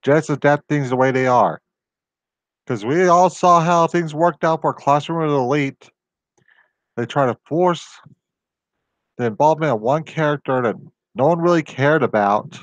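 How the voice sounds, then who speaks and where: A man's voice: a little high, moderate pace, no room sound, muffled recording. A man hosting his own channel, talking through rambling tangents with exasperated complaints. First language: not Japanese. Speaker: video host. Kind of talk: casual solo commentary.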